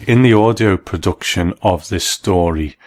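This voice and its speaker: A man's voice: deep voice, a little slow, darkish tone. A man hosting a scripted video essay, reviewing in an enthusiastic, explanatory manner. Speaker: host and reviewer.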